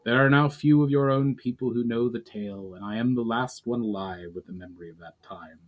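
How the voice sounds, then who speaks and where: A man voicing an ancient elf general, calm, solemn and measured, in a fantasy story reading. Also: mid-range voice, dull audio, natural voice.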